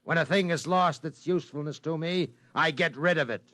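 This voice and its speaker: An actor playing a cold, ruthless executive in a 1950s radio drama, curt and domineering. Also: male voice, fairly high pitch, brisk, a bit bright, no room sound, close to an everyday voice.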